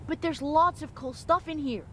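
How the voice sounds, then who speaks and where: A woman's voice: sits high, normal speed, bright, forceful tone. A woman voicing an eager, adventurous little boy in a video game. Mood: excited. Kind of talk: video game dramatic acting.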